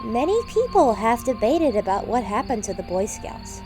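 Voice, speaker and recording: A woman's voice: on the high side, sing-song, normal speed. A woman playing a studious talking engine in an audio drama, with an earnest storytelling manner. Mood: eerie, earnest. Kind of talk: audio drama character acting. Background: music, night insects.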